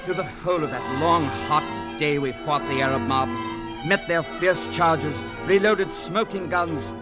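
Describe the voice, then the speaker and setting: A man's voice: fairly high pitch, unhurried, close to an everyday voice. An actor playing an earnest young legionnaire who tells his story as a grave, dramatic narrator in a 1940s radio drama. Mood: solemn, dramatic. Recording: dull 1940s radio recording with music.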